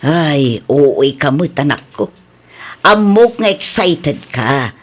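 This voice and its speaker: A doubtful, nagging older mother, voiced by an actress with a Filipino Ilocano accent. Her pitch swings in a lively way, in the manner of radio comedy drama. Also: slow pace, slight background noise, exaggerated voice. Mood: nagging, concerned.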